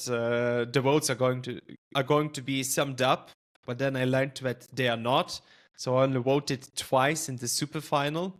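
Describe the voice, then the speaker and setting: A man's voice: mid-range voice, fairly bright tone. A man whose first language is Lithuanian, hosting a podcast interview in a thoughtful way with many hesitations. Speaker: podcast host.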